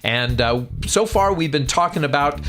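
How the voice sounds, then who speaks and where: A man's voice: a little high, expressive, moderate pace, fairly bright tone, faint music behind. A man moderating a radio talk show in a conversational, somewhat hesitant way.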